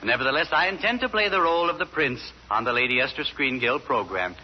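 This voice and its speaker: A man's voice: mid-range voice, lively intonation, quick pace, background noise. Old radio guy telling a fairy tale all earnest, bouncy voice, muffled broadcast sound. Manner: earnest storytelling, playing straight man. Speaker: comedy host. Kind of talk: 1940s radio comedy. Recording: dull lo-fi 1940s radio broadcast.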